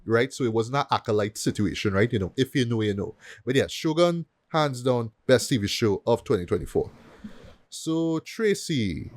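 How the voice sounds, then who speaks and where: A man's voice: mid-range voice, dry recording. Man chatting casually in a dry close sound. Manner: conversational, repeatedly tags sentences with "though" and "right". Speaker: podcast host. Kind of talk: casual review chat.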